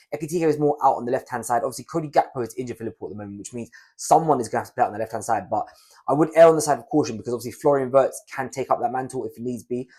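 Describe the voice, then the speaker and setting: Fast-talking man, croaky with a cold. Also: mid-range voice, darkish tone. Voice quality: raspy. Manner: chatty, conversational, fast. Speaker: fantasy football YouTuber. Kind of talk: casual analysis to camera.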